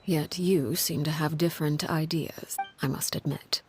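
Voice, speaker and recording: Stern game matriarch, low voice, measured and formal, solemn, composed authority, music underneath. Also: female voice, fairly even intonation, a little mellow. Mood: calm, probing. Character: stern, composed woman, commanding head of an orphan family. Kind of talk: scripted video game drama acting. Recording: music bed behind the voice. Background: background music.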